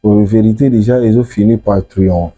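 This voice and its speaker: A man's voice: on the low side, fairly even intonation, moderate pace, dark tone, breathy edge. A man from the Congo with a Congolese accent, explaining his religion as an interview guest and restating key words. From Democratic Republic of the Congo. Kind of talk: explanatory interview talk, mixing French and Lingala.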